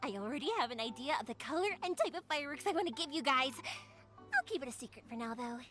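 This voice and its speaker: An actress playing a cheerful, caring young woman in a video game, chatty, expressive and easygoing. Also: high voice, fast talker, soft background music. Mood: upbeat, encouraging. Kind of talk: video game character acting.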